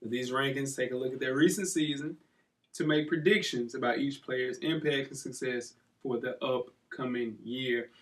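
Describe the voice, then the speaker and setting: A man's voice: medium pitch, fairly even intonation, moderate pace, recorded from a distance. Jovial male sports podcast host, slightly off-mic. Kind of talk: casual sports banter and show introduction. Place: studio.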